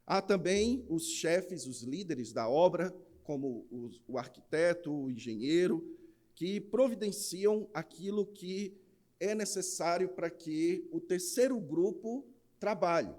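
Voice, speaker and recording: A man with a Northeastern Brazilian accent preaching in church, opening with a jokey rhymed verse before an earnest sermon. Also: a little high. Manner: jokey rhyming recitation, then steady earnest preaching. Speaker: pastor. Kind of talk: sermon with a humorous rhymed recitation.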